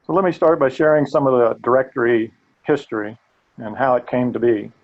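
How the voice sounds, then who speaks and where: An older man with a gravelly voice walks listeners through a training webinar. He speaks in an earnest, steady way and sometimes restarts a phrase. The audio is dull and lo-fi, like a video call. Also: mid-range voice, a little fast. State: gravelly voice today. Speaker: webinar presenter. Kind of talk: presenting a live training webinar.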